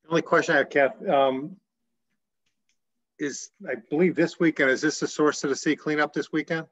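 A man's voice: fairly high pitch, fairly even intonation, normal speed, a bit bright. A man on a town board asking questions during a video call meeting, often repeating words. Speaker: board member. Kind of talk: casual meeting discussion. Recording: dull video call audio.

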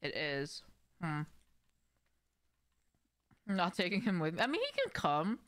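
A woman's voice: fairly low pitch, wildly swooping pitch, unhurried, fairly bright tone. A young woman live streaming a game, chatting casually and speaking directly to her viewers. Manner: conversational, addressing viewers directly. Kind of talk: casual livestream chat while gaming. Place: indoor room at home.

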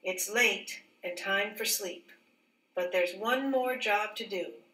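Female storybook reader, teacher type: unhurried, careful phrasing, expressive dialogue, roomy distant recording. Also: medium pitch. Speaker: teacher reading a picture book aloud. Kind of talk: read-aloud storybook narration. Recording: roomy echo, distant mic.

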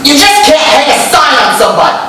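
A young man acting an eager, awkward suitor in a live stage comedy, halting and earnest. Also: very high voice, very animated. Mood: earnest, defensive. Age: young adult. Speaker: stage actor in a one-act comedy. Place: indoor theatre stage. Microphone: distant camera. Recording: very echoey, noisy theatre stage from far off.

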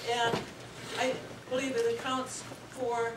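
Indignant woman, halting, amid clattering dishes. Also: fairly high pitch, flat delivery, very noisy. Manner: halting, indignant, many uh pauses. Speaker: audience speaker. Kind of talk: impromptu floor speech. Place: restaurant meeting room. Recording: distant, echoey room with loud dish clatter.

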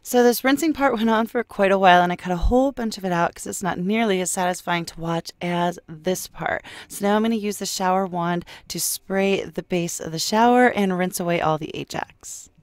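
A woman's voice: on the low side, a little fast, hardly any pauses, dry recording, faint music behind. A woman giving a casual, explanatory voice-over as a home cleaning vlogger.

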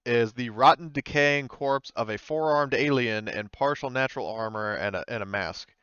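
A man's voice: mid-range voice, normal speed, fairly bright tone, smooth, no room sound, lo-fi sound. A man working as game master, narrating and explaining in a hesitant, rambling way during a tabletop roleplaying game.

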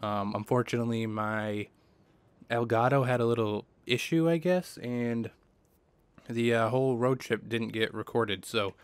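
A man's voice: mid-range voice, a little slow, clean tone, dry recording. A young man with a scratchy throat from a cold, chatting casually with lots of fillers while he plays a racing game. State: hoarse from a cold. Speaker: gaming YouTuber. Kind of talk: casual let's play commentary. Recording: voice over loud game car noise.

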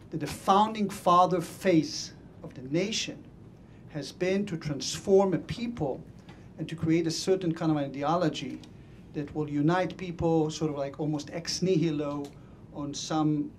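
A middle-aged man with an Israeli accent argues his view emphatically into a handheld mic in a conference room. He sounds opinionated and unscripted, with a faint rumble behind him. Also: darkish tone. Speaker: audience member and scholar commenting at a panel. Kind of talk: impromptu argumentative comment at an academic discussion. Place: conference room full of seated audience. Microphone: handheld microphone.

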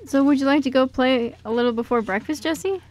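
A woman playing a sweet, considerate little orphan boy in an amateur radio play, in a gentle, earnest way. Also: high-pitched, quick pace, natural voice. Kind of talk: amateur radio drama script reading.

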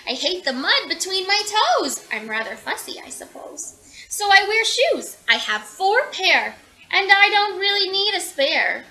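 A woman's voice: extremely high pitch, big pitch swings, a little slow, sharp tone, pitched above the performer's own voice, full character voice, rough recording. A woman voicing a fussy, polite storybook hippo in a prim, mannered way. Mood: fussy, gracious.

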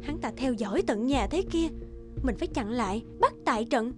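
A high-pitched, fretful cartoon mother, acted by a woman. She is overprotective and quick to flare up, and exclaims as she worries. Music plays behind her. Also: expressive, close to an everyday voice. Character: anxious, overprotective, quick-tempered mother of a young boy. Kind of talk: cartoon voice acting.

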